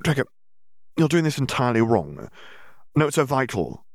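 Studious, bossy teen girl read by a man, earnest and insistent, lifted pitch, storybook narration. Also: very animated, normal speed, no room sound, studio quality. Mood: scolding.

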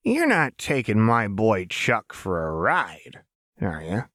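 A man's voice: fairly low pitch, wildly swooping pitch, takes it slowly, a bit bright, no echo, studio quality, full character voice. A man voicing a manic, playful, menacing woman in an audiobook, brash and abrupt, swinging from chummy to threatening. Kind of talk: audiobook character voice.

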